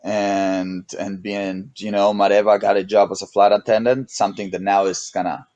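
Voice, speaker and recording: Laid-back Mexican man with a low voice. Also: normal speed, dry recording. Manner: relaxed, rambling with many restarts and "you know". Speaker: guest, pro paddle racer. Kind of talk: casual interview chat.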